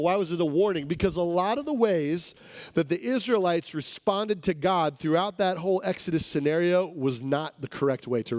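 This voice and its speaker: A high-pitched man preaches with emphatic repetition and calls for the crowd to answer. His voice is strained from a recent illness. The sound is dry and close. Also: darkish tone, no echo. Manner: emphatic preacher, repeats words for stress, calls for responses. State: recovering from sickness, voice strained. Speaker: pastor. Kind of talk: sermon to a congregation.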